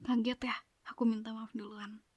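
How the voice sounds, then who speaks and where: Woman whispering asmr girlfriend roleplay, breathy and kinda high. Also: level tone, normal speed, dry recording. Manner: soft coaxing whisper, apologetic and pleading.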